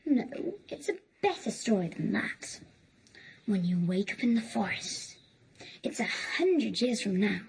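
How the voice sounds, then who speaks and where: A woman's voice: mid-range voice, sing-song, measured pace, creaky, a little mellow, poor audio. A woman playing a dreamy, imaginative child, playfully spinning fairy tales in an audio drama.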